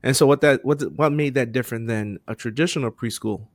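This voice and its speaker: A man in his forties hosting an interview from a home office on a headset, asking relaxed questions and nodding along with short replies. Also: medium pitch, fairly quick, no echo. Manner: supportive backchannels, relaxed questions. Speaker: podcast host, coach. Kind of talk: interview host.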